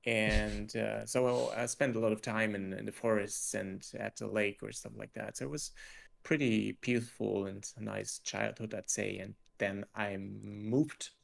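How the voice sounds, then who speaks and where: A man's voice: lowish voice, flat delivery, gravelly. A man in his forties from Switzerland, a game developer talking hesitantly in a casual interview over a video call. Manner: hesitant, halting with restarts and laughs.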